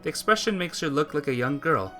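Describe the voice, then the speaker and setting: A person with a bright, forward voice narrates a visual novel for a let's-play. The delivery is a scripted read with small slips, and soft guitar music plays faintly behind it. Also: mid-range voice, expressive, fast talker.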